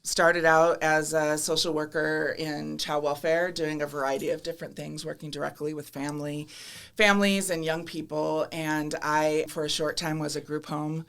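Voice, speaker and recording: A woman's voice: low voice, little pitch movement, normal speed, fairly bright tone, clean tone. A middle-aged woman with an American accent, answering interview questions in a candid, reflective way with light self-deprecating humor. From Midwestern United States (Minnesota, Iowa). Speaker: podcast guest, government commissioner. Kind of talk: conversational interview.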